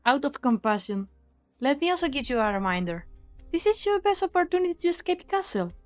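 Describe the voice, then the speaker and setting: A woman acting as a young mercenary leader with a high voice. She is cynical and unsentimental, delivering everything with a sly, taunting edge. It is anime game dub acting with soft music underneath. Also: big pitch swings, a little fast. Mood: cool warning.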